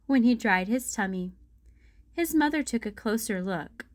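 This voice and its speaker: A woman narrating a children's storybook as a read-aloud host, in a deliberate storytelling manner. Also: on the high side, lively intonation, no room sound, studio quality.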